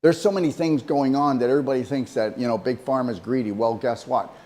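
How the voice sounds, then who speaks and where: A man's voice: mid-range voice, fast talker, a little mellow. A man co-hosting a casual talk show, speaking emphatically and rambling, often repeating himself. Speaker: co-host.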